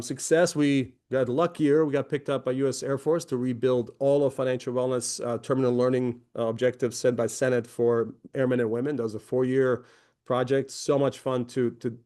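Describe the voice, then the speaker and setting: A man's voice: mid-range voice, a little mellow. Chatty man in his forties from Croatia. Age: 40s. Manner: conversational, many fillers and restarts. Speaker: company founder presenting. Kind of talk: webinar presentation.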